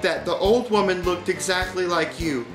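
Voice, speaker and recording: A man's voice: high-pitched, moderate pace, reverberant, over music, close to an everyday voice, rough recording. A man voicing strange, mischievous young twin children who lie in a blunt, matter-of-fact way in a storybook. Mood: taunting, chanting.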